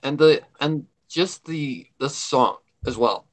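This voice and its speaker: A man's voice: medium pitch, lively intonation, slow. A man with a mid-range voice co-hosts a casual livestream. He speaks like an opinionated fan, stressing his points and often saying them again.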